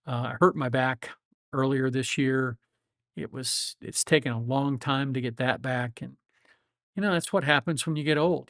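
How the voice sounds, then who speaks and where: A man's voice: mid-range voice, no room sound, studio quality. A man of seventy, a lawyer hosting a livestream, chatting casually with viewers in a reflective, rambling way with many fillers and restarts. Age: 70s.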